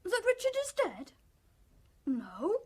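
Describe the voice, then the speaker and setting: An actor playing an excitable, childlike young man with a naive, eager, morbid fascination, in a radio drama. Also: extremely high pitch, takes it slowly, heavily acted. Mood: defiant, gleeful. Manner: eager, naive, giggly, repeats questions back.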